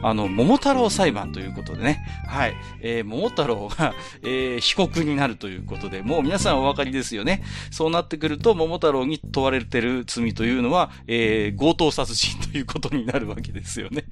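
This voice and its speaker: A male podcast host talks over clearly audible music. His voice sits at a medium pitch. He explains in a polite, conversational way, as in a casual solo monologue. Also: animated, normal speed, hardly any pauses. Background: music under the voice.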